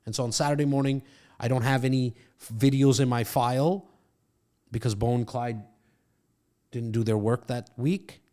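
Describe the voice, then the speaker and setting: A man's voice: medium pitch, moderate pace, long pauses, dark tone. A middle-aged man hosting a podcast, coaching a guest in a brash, profane pep-talk manner from his studio. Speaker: podcast host and real estate coach. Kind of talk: casual mentoring chat. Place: home studio.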